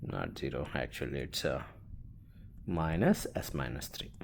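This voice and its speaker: A man teaching a step-by-step worked example as an instructor, halting and thinking aloud as he writes. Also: very deep voice, very animated, creaky, a little hiss or hum. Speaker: engineering instructor.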